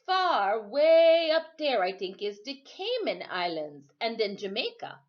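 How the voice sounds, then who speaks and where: A woman's voice: on the high side, sing-song, slow, lo-fi sound. A woman voicing a hearty, kindly older sailor from a storybook, speaking emphatic dialect with a lilt. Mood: grave, explaining. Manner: emphatic, exclamatory dialect speech. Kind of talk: storybook character read aloud.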